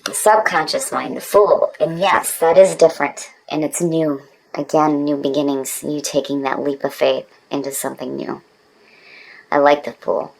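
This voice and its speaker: A woman's voice: deep voice, steady tone, moderate pace, creaky, poor audio. A woman speaking as a tarot reader in a casual, conversational style, with an informal, emphatic manner.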